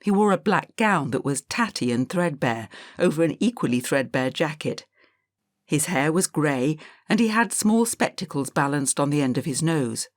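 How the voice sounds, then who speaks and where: A woman narrating a children's audiobook in a calm, measured storytelling manner. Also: sits low, no echo, clean studio sound.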